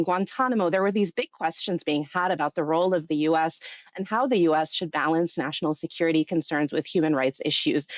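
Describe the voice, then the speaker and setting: A woman's voice: fairly low pitch, no room sound, dull audio. A woman in her thirties, from Egypt and raised in the US, giving long, earnest, articulate answers as a guest in a podcast interview. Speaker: guest, think tank executive director.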